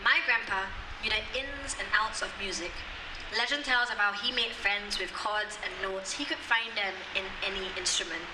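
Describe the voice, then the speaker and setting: A woman's voice: mid-range voice, moderate pace. A young woman from Singapore performing spoken word poetry and chatting about it between poems with many ums. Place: outdoor courtyard. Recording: echoey, distant, noisy outdoor recording.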